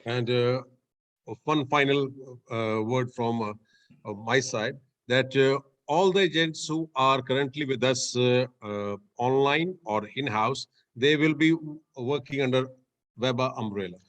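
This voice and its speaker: A man's voice: medium pitch, a little slow, a bit bright. A man from the workshop team giving a short closing word, hesitant and full of fillers.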